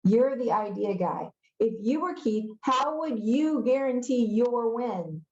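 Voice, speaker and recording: A woman's voice: on the high side, lively intonation, slow, a little mellow, reverberant, highs cut off. A woman reading the part of a pushy, sneering middle-school newspaper editor boy in a storybook, insistent and sarcastic.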